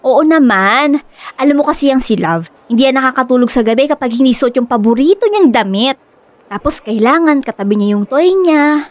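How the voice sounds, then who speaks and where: A woman playing an excitable, stubborn young woman who dotes on her pet dog in a radio drama, gushing and chatty. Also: high voice, fairly quick, dry recording. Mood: gushing, excited. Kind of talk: Filipino radio drama acting.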